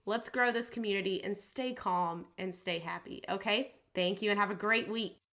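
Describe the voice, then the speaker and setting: A woman's voice: mid-range voice, normal speed, fairly bright tone. A woman hosting a podcast, talking in an upbeat, reassuring and chatty way, her voice a little scratchy from allergies. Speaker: podcast host. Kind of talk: casual podcast pep talk.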